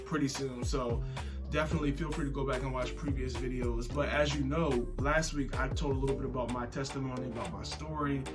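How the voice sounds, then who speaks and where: A man's voice: medium pitch, fairly even intonation, a little fast. Upbeat American man, music behind. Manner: upbeat, informal. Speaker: podcast host. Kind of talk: casual show introduction and banter.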